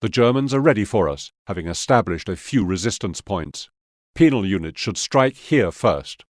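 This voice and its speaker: An actor playing a firm, businesslike Soviet officer giving orders in a video game mission briefing. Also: male voice, on the low side, dry recording, clean studio sound, lightly acted. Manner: authoritative, clipped, instructional.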